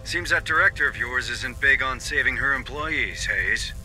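A man's voice: medium pitch, normal speed, sharp tone, faint music behind. An actor playing a marine sergeant in a video game, commanding his squad with dry humor. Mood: dry, alert. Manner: commanding, dryly joking. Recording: faint background noise.

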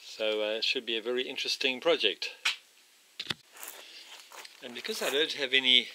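A man talks through a do-it-yourself plumbing job at an average pitch, pausing with ums and uhs as he shows his work. He is recorded on a camera microphone in an outdoor work area. Steady noise and clicks sit clearly behind his voice. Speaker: DIY video maker. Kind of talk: hands-on home repair walkthrough. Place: outdoor workshop area by a house.

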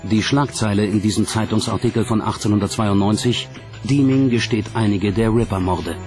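Man, documentary narrator, lowish pitch, scripted delivery, clear background music. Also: normal speed, darkish tone.

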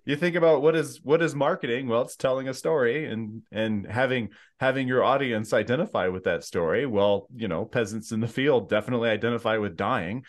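A man's voice: mid-range voice, a little fast, hardly any pauses. A man in his thirties, a novelist who grew up between the US and France, chatting animatedly with self-deprecating humor from a home studio. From United States and France. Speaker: historical fiction author. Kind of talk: casual chat. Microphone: large studio mic with pop filter.

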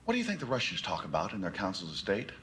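An actor playing a domineering middle-aged American corporate tycoon, preaching in a grandiose theatrical film monologue with emphatic, deliberate phrasing. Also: male voice, sits low, huge pitch swings, fairly bright tone, a little hiss or hum, heavily acted. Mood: hushed persuasion.

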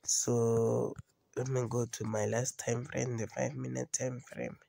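Gravelly husky man with a cold. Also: mid-range voice, little pitch movement, unhurried, warm tone, no room sound. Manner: repeats phrases, asks "you understand?" often. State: has a cold. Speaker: trading video host. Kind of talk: casual screen-recorded tutorial. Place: indoors, voice-over on phone screen recording.

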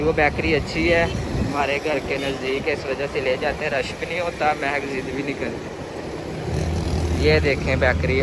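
A young man speaks on a handheld phone camera with a slightly high voice, in no hurry, patiently coaxing children along. Street and shop noise run clearly behind him. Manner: coaxing, repeats short prompts to the children. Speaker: vlogger. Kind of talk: casual vlog narration. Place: outdoors on a street and inside a small bakery.

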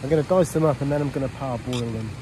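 A man's voice: mid-range voice, fast talker. Offhand man, noisy outdoor recording. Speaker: fishing and cooking vlogger. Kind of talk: casual chat to camera. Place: open shingle beach beside a shelter. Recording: noisy outdoor ambience, wind and waves.

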